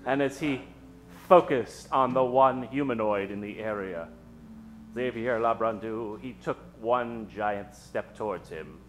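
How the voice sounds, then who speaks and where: A man narrating a radio play in a theatrical, suspenseful manner with dramatic pauses. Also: lowish voice, faint music behind.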